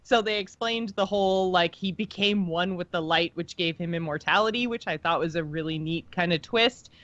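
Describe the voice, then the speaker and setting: A woman's voice: lowish voice, normal speed, fairly bright tone, no echo, highs cut off. A woman co-hosting a podcast, chatting in a casual, informal and teasing way about something she follows as a fan. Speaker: podcast co-host. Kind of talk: casual, unscripted fan discussion.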